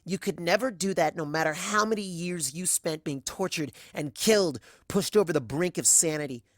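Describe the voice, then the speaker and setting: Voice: medium pitch, normal speed, dry recording, studio quality, voice pushed up, lightly acted. A reader voicing a hurt, bitter young female pony with a terse, emotionally strained delivery, in a dramatic fan fiction reading. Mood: angry, bitter.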